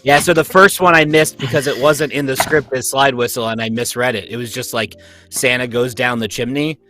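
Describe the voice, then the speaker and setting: A man's voice: mid-range voice, steady tone, fairly quick, music in the background. A man who did the sound effects, chatting casually on a video call and laughing at himself. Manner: chatty and self-deprecating, laughs often. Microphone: home mic on a video call.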